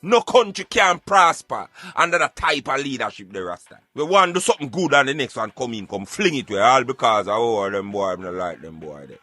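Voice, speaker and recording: A man's voice: medium pitch, sing-song, fairly quick, few pauses, sharp tone, no echo, faint music behind. A man with a Jamaican accent giving emphatic, preachy, rhetorical opinions in an impassioned talk style. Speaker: radio show host.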